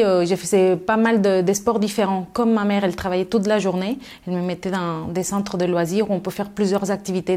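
A woman's voice: medium pitch, dark tone. A middle-aged woman from Mexico, answering interview questions thoughtfully with many fillers and restarts. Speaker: guest.

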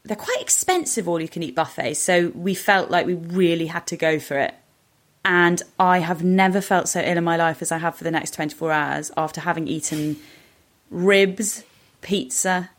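A woman's voice: on the low side, moderate pace. A British woman co-hosting a podcast, telling personal anecdotes in a casual, conversational way. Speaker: podcast co-host.

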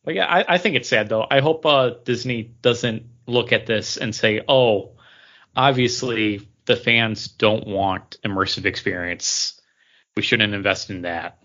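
Male podcast co-host, lowish bright voice, halting conversational delivery, slightly dull recording. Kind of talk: casual chat about theme park attractions.

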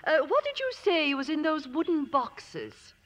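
A woman's voice: high-pitched, big pitch swings, unhurried, fairly bright tone, heavily acted. An actress playing a prim, determined, patriotic lady in a 1950s radio drama, with formal and precise phrasing. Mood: eager, scheming. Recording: dull vintage radio broadcast.

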